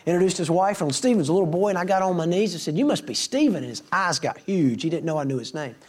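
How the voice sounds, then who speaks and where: A man's voice: high voice, animated, fast talker, a little mellow, breathy edge. A middle-aged man, a preacher telling folksy stories to his congregation and acting out the dialogue. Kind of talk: storytelling sermon to a congregation.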